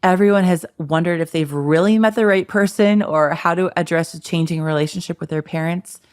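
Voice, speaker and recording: Woman in her thirties, lowish voice. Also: brisk, darkish tone, clean tone, no echo, clean studio sound. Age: 30s. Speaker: podcast host. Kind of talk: casual chat.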